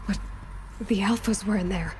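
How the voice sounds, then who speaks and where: An actress playing an earnest, determined young heroine in a video game, speaking in a measured, hushed way. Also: female voice, mid-range voice, slight background noise, lightly acted. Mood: puzzled. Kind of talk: dramatic video game acting.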